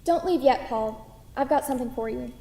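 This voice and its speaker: A woman acts out a kind, hesitant waitress in a staged play reading. Her voice is high. The room is echoey, with long hall reverb. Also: moderate pace, vocal fry, dark tone, a little hiss or hum. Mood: friendly, polite.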